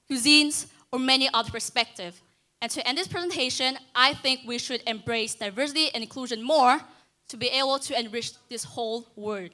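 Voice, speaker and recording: Bright-voiced teen girl with a Vietnamese accent. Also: sits high. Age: teens. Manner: earnest, with restarts and asides to the audience. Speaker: student speaker. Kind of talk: school stage talk. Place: school auditorium stage.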